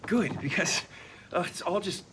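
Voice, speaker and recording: A man's voice: sits high, a little slow, a bit bright. A man playing an earnest, nerdy architect father who is proud and wistful, reading a comedy script. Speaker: actor at a sitcom table read. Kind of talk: comedic script read aloud before an audience. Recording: noisy live room.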